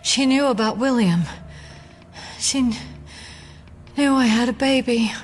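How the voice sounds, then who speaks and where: A woman's voice: on the high side, measured pace, slight background noise, close to an everyday voice. An actress playing a shaken but defiant female FBI agent in an audio drama, serious and hesitant. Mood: shaken, subdued. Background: dramatic music.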